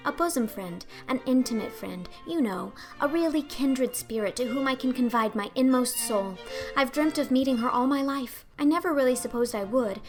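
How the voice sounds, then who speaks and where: An earnest, imaginative orphan girl acted by a woman pours out her thoughts in a storybook reading. The voice is high and effusive, rambling along dreamily, with music playing underneath. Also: close to an everyday voice. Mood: hopeful, eager. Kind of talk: audiobook character acting.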